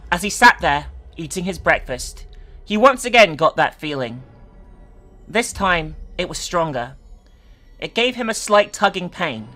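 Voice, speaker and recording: An animated narrator reads a horror story with a bright, forceful delivery. The reading swings widely in pitch and breaks into sweary, incredulous commentary. Faint music plays behind. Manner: expressive, sweary and incredulous in asides. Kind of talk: dramatic reading of an internet horror story with asides.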